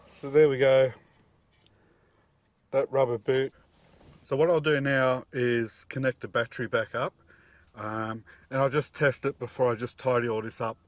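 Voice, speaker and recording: A man's voice: mid-range voice, no room sound. A middle-aged man walks through a job step by step in a casual, plain-spoken, practical way. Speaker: DIY presenter. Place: outdoors at a car engine bay and inside the vehicle cab.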